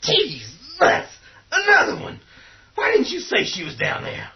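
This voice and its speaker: An actor playing a tough, hot-tempered, scornful man in a horror radio drama, with gruff delivery. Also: extremely high pitch, huge pitch swings, measured pace, muffled recording, full character voice. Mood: exasperated, angry. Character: tough, hot-tempered, foul-mouthed man defending his territory.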